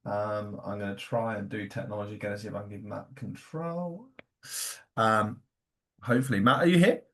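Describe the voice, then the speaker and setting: A man's voice: fairly low pitch, normal speed, a bit bright. A man hosting an online webinar informally, his voice affected by a cold. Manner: throat-clearing, apologetic. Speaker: webinar host.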